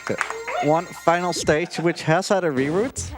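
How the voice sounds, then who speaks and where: A man's voice: on the high side, moderate pace, over a noisy crowd. A man giving casual, chatty, self-deprecating live commentary while speedrunning a video game. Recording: live marathon stream with game audio. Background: game music.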